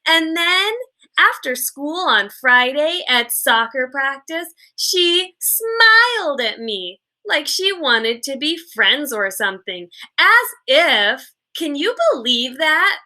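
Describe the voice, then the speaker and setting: A woman's voice: high-pitched, sing-song, slow pace, bright, forceful tone, exaggerated voice. A woman voicing a chatty, haughty fifth-grade girl from a children's book, gushing in run-on sentences. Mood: gushing, scornful.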